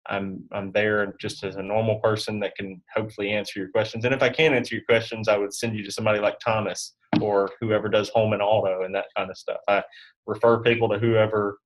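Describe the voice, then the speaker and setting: A man's voice: on the low side, little pitch movement, brisk, rough recording. A man chatting casually as an interview guest. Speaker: guest insurance agent.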